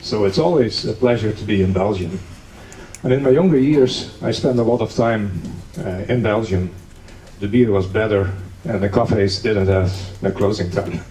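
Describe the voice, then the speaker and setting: A man's voice: fairly low pitch, normal speed, mellow. A man from the Netherlands giving an academic conference lecture, explaining steadily with a touch of dry humor. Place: conference hall. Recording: echoey hall, distant mic, faint noise.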